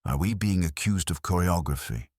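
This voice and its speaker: A man's voice: low voice, lively intonation, normal speed, darkish tone, no room sound, studio quality, pitched above the performer's own voice. A man voicing a touchy, officious businessman who guards his profits in an audiobook mystery. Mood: prickly.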